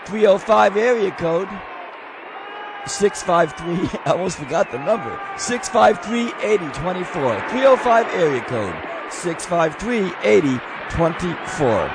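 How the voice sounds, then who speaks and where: A man hosting a live radio talk show, loud and excitable, losing his voice. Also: sits high, unhurried, a loud crowd, heavy background noise. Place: radio studio with live audience.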